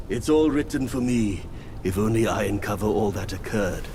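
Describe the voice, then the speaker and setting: A man's voice: lowish voice, normal speed, mellow, a little hiss or hum, close to an everyday voice. A man voicing a smug, chuckling male fate reader in a game cutscene, speaking in a knowing way. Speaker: fortune reader. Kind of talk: video game cutscene voice acting.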